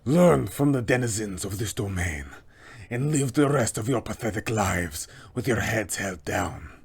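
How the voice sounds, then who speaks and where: A man voicing a menacing aristocratic vampire lord for a tabletop game, raspy and creaky, with slow, pompous delivery. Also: on the low side, lively intonation, full character voice. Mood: contemptuous, menacing.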